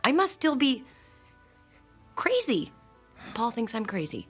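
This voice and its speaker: An actress playing a distraught married woman confessing a strange experience to her psychiatrist in a radio drama, anxious and halting. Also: on the high side, big pitch swings, slightly rough, soft background music, exaggerated voice. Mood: anxious, bewildered.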